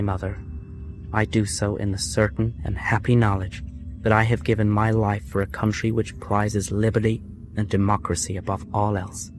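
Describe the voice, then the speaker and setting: An actor playing a proud, earnest young American prisoner, solemnly voicing a letter in measured phrases in a radio drama. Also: male voice, fairly low pitch, close to an everyday voice.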